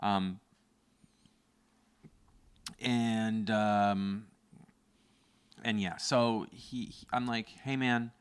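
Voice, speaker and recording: A man from Canada co-hosting a casual chat, telling stories and often jumping into loud, high exclamations. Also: very slow, long pauses, clear, resonant tone. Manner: animated storyteller, bursts into loud high exclamations and sung bits. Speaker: podcast co-host.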